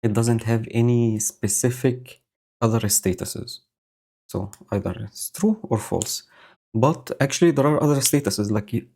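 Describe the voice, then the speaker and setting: A man with a low, mellow voice talks through his code for a live stream. He speaks slowly and pauses often, filling the gaps with ums and uhs as he thinks aloud. He is recorded on a home mic with a noise gate. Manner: slow, thinking aloud with many fillers. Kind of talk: casual live-coding commentary. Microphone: new home audio setup with noise gate.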